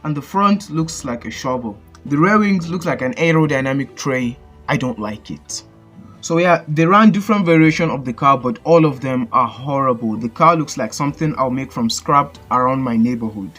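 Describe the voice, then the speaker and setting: A man's voice: mid-range voice, lively intonation, normal speed, fairly bright tone, faint music behind. A man with a Nigerian accent, hosting a casual countdown and giving blunt, joking opinions as a voice-over. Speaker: channel host. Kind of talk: casual list commentary.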